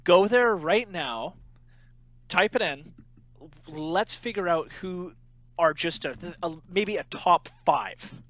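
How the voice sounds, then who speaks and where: Male podcast co-host, bright and somewhat high voice, joking and sarcastic. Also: animated, slow pace. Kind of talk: casual chat.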